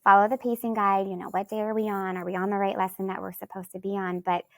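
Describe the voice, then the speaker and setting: A woman's voice: mid-range voice, little pitch movement, quick pace. A woman from Alaska, a third-grade teacher and guest, thinking aloud in a rambling way with many restarts and "you know"s. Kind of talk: conversational interview answers.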